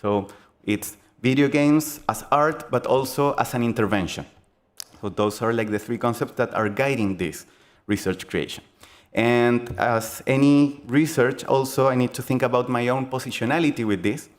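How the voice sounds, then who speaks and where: A young man with a Chilean accent presents his game design research at a conference. He speaks earnestly and haltingly, with frequent fillers and self-corrections, at a middling pitch.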